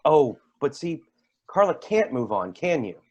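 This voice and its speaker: A man's voice: on the high side, sing-song, a little mellow, highs cut off. Man acting a sarcastic, wildly swinging needler. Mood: bitter sarcasm. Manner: halting, repeats words when needling. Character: bitter, sarcastic man feuding with his sister's partner. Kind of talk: staged play reading.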